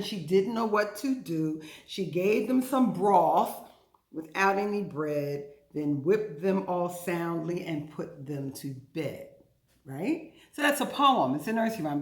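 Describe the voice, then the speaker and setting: Lively, echoey woman telling children's stories. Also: mid-range voice. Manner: playful, chatty, asks listeners questions. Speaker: children's storytime host. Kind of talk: interactive storytelling for young children.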